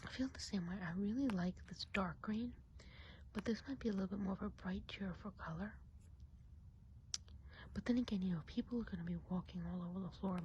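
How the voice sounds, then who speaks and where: A woman playing a friendly, chatty witch of the woods in an ASMR roleplay, whispering softly and breathily in an unhurried, musing way. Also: medium pitch, muffled recording, slight background noise, close to an everyday voice. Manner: unhurried, gossipy, hesitant musing.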